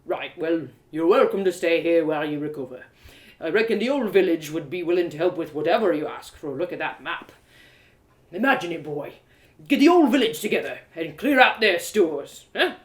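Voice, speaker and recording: A woman's voice: sits low, expressive, moderate pace, reverberant. A woman voicing a blustering, hearty middle-aged village father with a folksy, blunt way of talking. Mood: boisterous, scheming.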